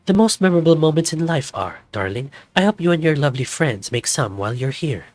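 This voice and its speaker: A man's voice: fairly high pitch, very animated, brisk, no echo, faint music behind. Man putting on a flamboyant, lilting older snow leopard. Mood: wistful, fond.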